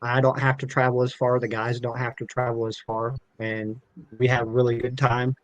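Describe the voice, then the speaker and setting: A man's voice: mid-range voice, flat delivery, moderate pace, no echo, muffled recording. A man from the United States speaking as a podcast guest on a call, talking casually and earnestly about himself. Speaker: podcast guest, tournament director.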